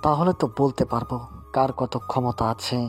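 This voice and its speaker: A loyal mafia henchman, acted by a man, talks in a middle-pitched voice with music behind him. He is deferential and eager to suggest plans, in the manner of a homemade audio drama. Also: moderate pace, close to an everyday voice. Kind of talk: amateur Bengali audio-story acting.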